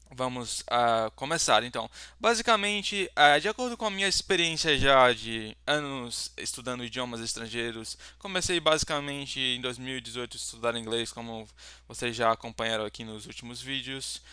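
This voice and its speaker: A young Brazilian man speaking with a Brazilian Portuguese accent. His voice is bright and crisp, and it sits dry and close to the mic. He talks like a tutor introducing himself, loose and rambling, full of fillers and restarts. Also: medium pitch, normal speed, dry recording. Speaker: language tutor. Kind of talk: casual self-introduction to camera.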